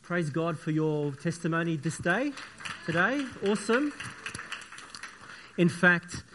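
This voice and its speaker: Croaky-voiced preacher man, earnest and halting. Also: fairly high pitch, big pitch swings, noisy background. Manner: earnest, repetitive, halting with many fillers and restarts. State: croaky, sniffly voice from the flu. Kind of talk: church sermon with opening prayer.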